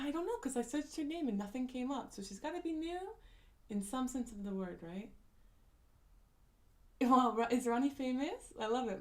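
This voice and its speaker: A young woman in casual conversation, talking at her desk mic while streaming from home. Her voice sits a little high, and she runs on with fillers, restarts and quick laughs as she answers viewers' comments. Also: darkish tone. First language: not Japanese. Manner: chatty, many fillers and restarts, frequent laughs. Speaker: live-stream host. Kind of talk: casual live-stream chat reading comments.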